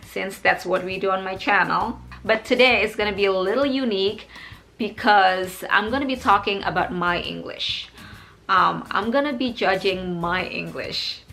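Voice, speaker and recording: A woman's voice: medium pitch, vocal fry, sharp tone. A young woman from Indonesia speaking English with an Indonesian accent, chatting openly and reflectively to her viewers in a casual video. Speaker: English teacher vlogger. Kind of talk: casual talk to camera.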